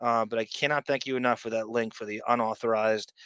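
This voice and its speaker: A man's voice: medium pitch, steady tone, quick pace, a bit bright, clean tone, dry recording, lo-fi sound. A man hosting a podcast in casual chat, rambling and often searching for his words. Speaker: podcast host.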